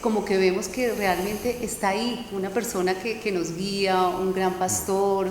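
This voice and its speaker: A woman's voice: mid-range voice, flat delivery, normal speed. A woman with a Colombian Spanish accent interviewing a guest, asking her questions hesitantly and searching for words. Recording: echoey room with long reverb, faint hum.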